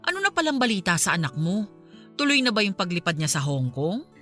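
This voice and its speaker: A woman's voice: mid-range voice, big pitch swings, fairly quick, fairly bright tone, soft background music. A woman playing a suspicious middle-aged mother chatting conversationally in a radio drama, with a Filipino accent. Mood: chatty.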